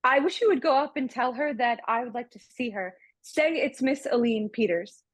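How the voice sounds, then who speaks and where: A woman's voice: a little high, animated, moderate pace, no echo. A woman playing a genteel, sweet-mannered young lady in a radio play. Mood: polite. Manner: polite, genteel.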